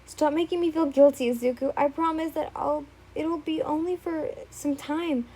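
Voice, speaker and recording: A woman's voice: high voice, fairly even intonation, normal speed, warm tone, pitched above the performer's own voice. A woman voicing a young woman who speaks in a firm, insistent way. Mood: reasoning.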